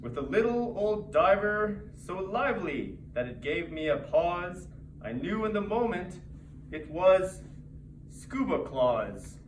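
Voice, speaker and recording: A man theatrically reciting a rhyming holiday story as a storyteller, in measured phrases. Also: sits high, sing-song. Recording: distant mic, echoey noisy room.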